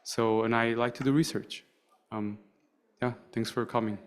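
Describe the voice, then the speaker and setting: A man's voice: medium pitch, fairly even intonation, normal speed, smooth. A man speaking as a panelist, introducing himself and his work to an audience. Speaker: panel speaker, creative agency owner.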